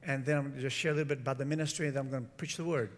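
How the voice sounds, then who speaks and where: A middle-aged man from San Francisco preaching as a guest in an informal, halting, conversational way, often correcting himself. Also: medium pitch, steady tone. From San Francisco, United States. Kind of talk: informal church talk with personal testimony. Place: large room, church service. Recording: echoey hall with long reverb.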